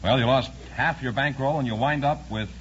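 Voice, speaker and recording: Fast-talking male announcer, old radio. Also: medium pitch, a bit bright. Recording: muffled, noisy vintage radio broadcast.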